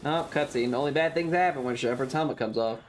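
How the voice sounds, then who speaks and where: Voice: mid-range voice, fast, slight background noise. A streamer from the southern United States giving casual video game commentary in a playful, mock-serious, rambling way. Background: game music.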